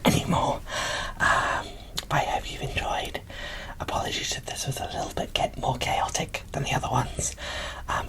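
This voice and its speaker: A woman speaking in a soft, breathy whisper, showing things off with gushing enthusiasm in an ASMR style. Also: very deep voice, low-quality recording. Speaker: host of an ASMR doll unboxing channel. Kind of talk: whispered ASMR show-and-tell.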